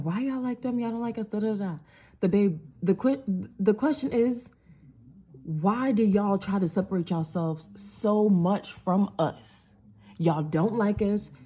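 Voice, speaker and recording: A woman's voice: on the low side, warm tone, breathy edge. A woman, delivering an indignant rant to camera full of rhetorical questions. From United States. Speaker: social media commentator. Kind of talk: impassioned direct-to-camera rant.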